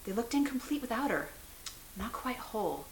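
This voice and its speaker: A woman's voice: fairly high pitch, sing-song, normal speed. A woman reading story narration from a script in a dramatic style. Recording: roomy echo, hiss in background.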